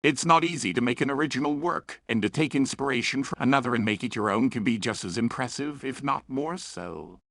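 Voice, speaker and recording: A man's voice: medium pitch, a little fast, gravelly, hardly any pauses, a bit bright, dry recording, studio quality. A man hosting a scripted review in a chatty, opinionated way, with playful, self-aware asides. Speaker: host and reviewer of fan fiction and audio dramas.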